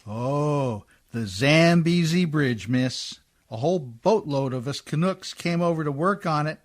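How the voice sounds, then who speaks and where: A man acting in a radio play as a rough, good-natured, hard-drinking Canadian riverboat skipper with a folksy, deferential, ungrammatical drawl. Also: a little high, expressive, unhurried. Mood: wistful, joking.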